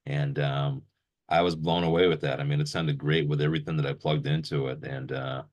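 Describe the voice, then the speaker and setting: A man with a remarkably deep, bottom-heavy voice. He talks as the interviewer in a casual conversation, keeping things informal. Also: little pitch movement, a little fast. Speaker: interviewer and gear reviewer.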